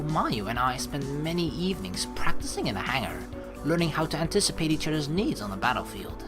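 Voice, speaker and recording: A let's play host from the Netherlands, reading a visual novel aloud and adding casual commentary. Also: medium pitch. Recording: game music behind the voice. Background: game music.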